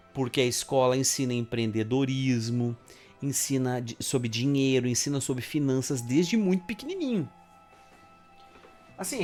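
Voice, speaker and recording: A man's voice: mid-range voice, a little mellow. A man in casual podcast chat, telling stories animatedly and swearing often. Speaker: podcast co-host.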